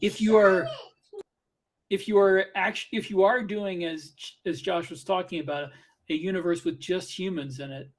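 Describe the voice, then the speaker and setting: Higher-pitched man debating on a podcast. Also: fairly even intonation, moderate pace, slight background noise, rough recording. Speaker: podcast co-host. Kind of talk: casual panel debate.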